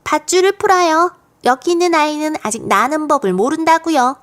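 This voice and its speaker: A woman performs a plucky little girl from a children's storybook. The voice is high and full of cheek. She speaks up boldly and playfully, sure of herself and happy to make mischief. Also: brisk, a bit bright. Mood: excited shouting. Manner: exclaiming, playful, confident.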